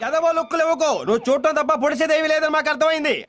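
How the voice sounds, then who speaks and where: Man playing an exasperated, high-voiced insulter. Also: very fast, fairly bright tone. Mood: exasperated scorn.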